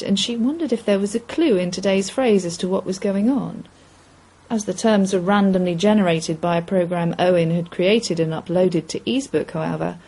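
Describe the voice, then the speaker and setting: A woman reading literary fiction aloud in a measured storytelling manner. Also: medium pitch, mellow, slightly rough, low-quality recording. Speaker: story reader. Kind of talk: scripted literary fiction narration.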